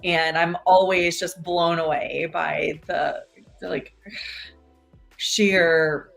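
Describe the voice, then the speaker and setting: A woman's voice: fairly low pitch, fairly even intonation, extremely slow, gravelly, a bit bright, faint music behind. A woman chatting casually and enthusiastically as a talk show co-host, in informal, gushing language. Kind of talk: casual chat.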